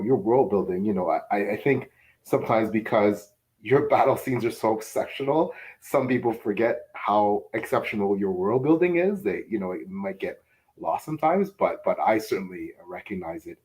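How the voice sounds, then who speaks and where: A man's voice: fairly low pitch, animated, normal speed, darkish tone, low-quality recording. A man co-hosting a podcast, asking long hesitant questions full of fillers. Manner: rambling, hesitant, many fillers. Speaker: podcast co-host. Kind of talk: casual interview questions.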